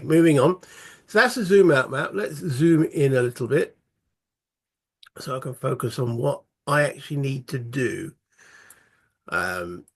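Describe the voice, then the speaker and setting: A man, croaky from a cold, casually talking through a solo board game and thinking aloud with many fillers. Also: mid-range voice. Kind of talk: casual tabletop playthrough commentary.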